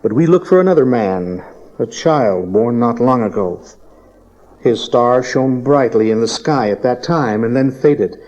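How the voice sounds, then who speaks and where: A man plays a clever, haughty young sage in a dramatized Bible. His dark, smooth-edged tone sits at a middle pitch and delivers pointed, lofty speeches. The tape sounds slightly muffled. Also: animated, unhurried, natural voice. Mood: firm, courteous. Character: proud young male magus, haughty, clever Eastern sage. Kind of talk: dramatized Bible audio acting. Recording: dull cassette tape audio drama.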